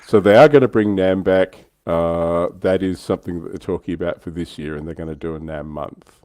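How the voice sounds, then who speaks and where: A man's voice: sits low, brisk, darkish tone, dry recording. A man with an Australian accent hosting a livestream in a casual hobby discussion. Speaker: livestream host.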